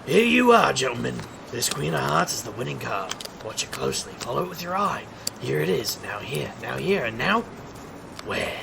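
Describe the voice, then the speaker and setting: A man's voice: mid-range voice, big pitch swings, moderate pace, creaky, background noise. An actor playing a cocky, wisecracking street magician and private eye in an audio drama, with a showman's wry, theatrical patter. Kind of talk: scripted audio drama acting.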